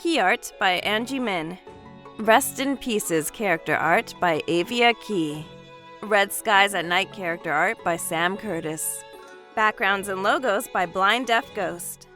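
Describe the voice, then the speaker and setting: A woman's voice: mid-range voice, slow pace, a bit bright, soft background music. A woman reading scripted production credits as an announcer.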